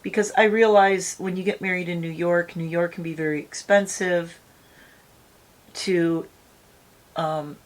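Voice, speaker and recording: Chatty middle-aged woman, slightly raspy. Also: lowish voice, steady tone, a little slow, pauses often. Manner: rambling, chatty, talks straight to viewers. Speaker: beauty vlogger. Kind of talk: casual makeup tutorial chat.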